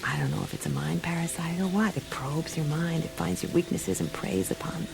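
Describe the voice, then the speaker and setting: A woman's voice: low voice, fairly quick, creaky, over music. An actress playing a tough, wry woman private detective in a radio play, speaking in an offhand, slangy way. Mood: guarded, matter-of-fact.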